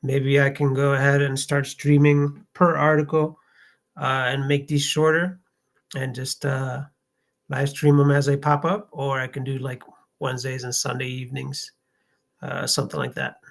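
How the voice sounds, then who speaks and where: A man with a medium-pitched voice talks casually as the host of a live stream. His delivery is hesitant, as he works out his thoughts aloud. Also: little pitch movement, moderate pace, creaky.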